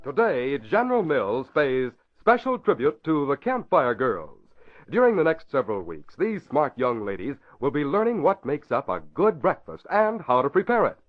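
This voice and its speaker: A male announcer narrates in vintage 1940s radio fashion. His delivery is dramatic and emphatic, swinging widely in pitch, with the muffled tone of an old broadcast. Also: big pitch swings, moderate pace.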